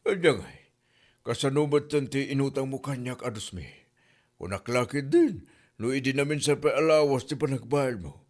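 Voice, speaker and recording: A man's voice: medium pitch, moderate pace, darkish tone, lightly acted. A man playing an exasperated older moneylender, scolding with an Ilocano Filipino accent in a comedy drama. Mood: indignant. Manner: scolding, exclaiming. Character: exasperated older male moneylender demanding repayment.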